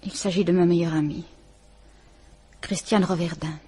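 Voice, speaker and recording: An actress playing a frightened but stubborn wife in a French radio drama. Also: female voice, on the low side, steady tone, moderate pace, dark tone, slightly rough. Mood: subdued.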